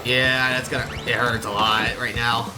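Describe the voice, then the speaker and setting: A man's voice: medium pitch, flat delivery, moderate pace, music in the background. A man live streaming a game, chatting casually with viewers in an informal, rambling, exclamatory way.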